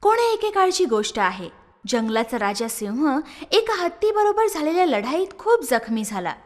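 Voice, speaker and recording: A woman narrating a scripted story for children in an expressive storytelling style. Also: high voice, in a large hall.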